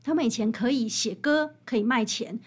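A woman guest with a fairly high voice and a Taiwanese Mandarin accent talks in an interview. She explains things conversationally and with animation, building her account through vivid examples. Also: normal speed, breathy edge. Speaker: interview guest, author and researcher of old songs.

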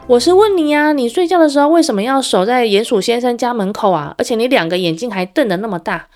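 A woman's voice: high voice, fast, dark tone, soft background music, voice pushed up. A woman voicing a small, timid young hedgehog in a children's story. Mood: urgent.

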